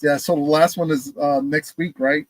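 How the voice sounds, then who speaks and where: A young man co-hosting a podcast in casual chat, enthusiastic and halting, with many fillers while reading news items. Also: fairly high pitch, steady tone, no echo. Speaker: podcast co-host.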